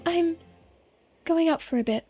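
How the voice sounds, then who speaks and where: A woman puts on a sweet young visual novel heroine with a very high voice. She sounds anxious and earnest, hesitant yet stubborn, with music playing behind her. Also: measured pace, warm tone. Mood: gentle, chatty. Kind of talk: visual novel character voicing.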